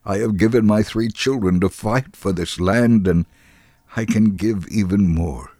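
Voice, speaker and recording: A man reading a story in a dramatic, expressive way, acting out the quoted dialogue. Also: fairly low pitch, a little slow, dry recording. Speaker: reader of a dramatized true story.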